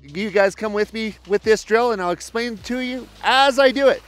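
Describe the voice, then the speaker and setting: High-pitched male ski instructor. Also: normal speed, fairly bright tone, soft background music. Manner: explanatory, step-by-step. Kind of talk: instructional demonstration to camera. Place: outdoors on an open snowy mountain ski slope.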